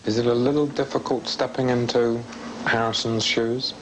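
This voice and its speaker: A man's voice: medium pitch, level tone, moderate pace. A man asking questions as an interviewer. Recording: noisy location sound.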